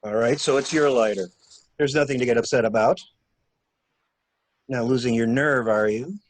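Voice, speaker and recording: A man in an amateur mystery drama reading, playing a cool-headed gentleman stranger who briskly and wryly takes charge of a cover-up. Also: mid-range voice, no echo, lightly acted. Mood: wry, chiding.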